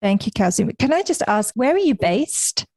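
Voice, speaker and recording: A woman's voice: a little high, big pitch swings, normal speed, a little mellow, dry recording, clean studio sound. A woman hosting an interview podcast, reading a scripted intro and then asking friendly questions. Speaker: podcast host.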